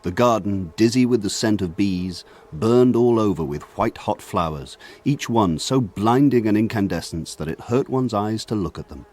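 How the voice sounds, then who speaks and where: An actor as a man looking back on his rural childhood, his voice deep and measured. The narration is lyrical and reflective, radio drama style, with a faint buzz of insects behind it. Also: lively intonation, a little mellow, slight background noise, natural voice. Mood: lyrical, wistful.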